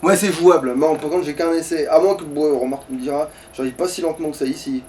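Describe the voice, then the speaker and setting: A man's voice: on the high side, steady tone, fast, mellow, a little hiss or hum. A man chatting casually and swearing in slang as he hosts a video game playthrough. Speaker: let's play host. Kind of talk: casual gaming commentary with a co-player.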